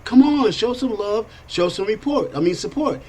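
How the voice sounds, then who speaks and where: A middle-aged man hosting a casual political talk show, rambling and animated with frequent restarts. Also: high voice, moderate pace. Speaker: podcast host.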